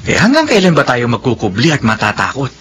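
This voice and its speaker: A man's voice: mid-range voice, wildly swooping pitch, quick pace, a bit bright, a little hiss or hum. An actor playing a frustrated, proud young man in a secret love, in a melodramatic Filipino audio drama. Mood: frustrated pleading.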